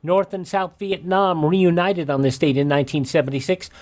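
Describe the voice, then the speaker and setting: A man's voice: fairly high pitch, normal speed, a little mellow. American man hosting a radio almanac. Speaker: radio show host. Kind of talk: radio almanac narration with off-script asides.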